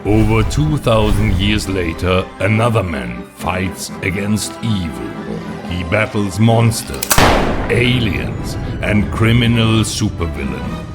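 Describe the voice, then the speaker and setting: A man reading dramatic scripted narration for a motion comic in a portentous, measured way. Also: fairly low pitch, expressive. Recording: clear music behind the voice. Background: music.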